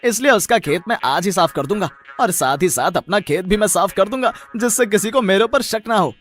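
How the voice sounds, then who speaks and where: A man's voice: sits high, lively intonation, a little fast, fairly bright tone, soft background music. A man playing a greedy, irritable, boastful onion seller in a cartoon story, speaking emphatically and repeating himself. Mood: bossy. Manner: exaggerated, emphatic, repeats words. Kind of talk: animated moral story acting.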